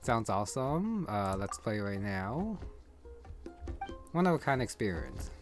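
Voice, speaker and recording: A man's voice: on the low side, sing-song, measured pace, over music. A man giving casual, hesitant game playthrough commentary, rambling and thinking aloud as he goes. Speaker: gaming video creator.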